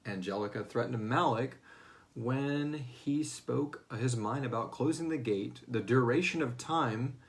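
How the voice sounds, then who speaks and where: A man's voice: mid-range voice, measured pace. A man hosting a casual question-and-answer discussion as the writer of a fiction series, talking informally and slipping into bits of acted dialogue. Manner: informal, acts out character lines in passing. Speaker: host and writer of a serialized audio fiction series.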